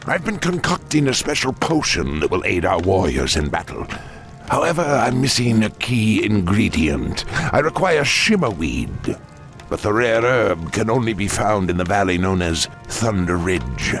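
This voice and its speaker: Elderly orc shaman, courteous, measured delivery, video game, faint music. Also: lowish voice, sing-song, a bit bright, full character voice. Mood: wise, businesslike. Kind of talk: video game cutscene acting.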